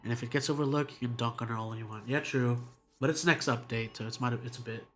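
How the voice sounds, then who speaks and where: Guy streamer chatting with his viewers, blunt n rambly, normal pitch, game music quietly behind. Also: fairly even intonation, quick pace. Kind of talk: casual live commentary to chat.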